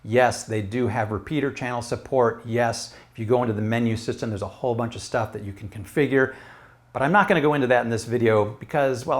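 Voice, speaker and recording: A middle-aged American man giving a casual, explanatory product review to camera in a conversational way. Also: mid-range voice. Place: small room at home. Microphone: lapel mic.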